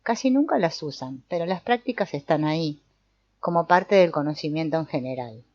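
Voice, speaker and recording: A woman voicing an old male sorcerer teacher, calm and didactic, speaking in a measured, explanatory way with the odd small restart. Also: low-pitched. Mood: explaining. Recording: dull, muffled audio.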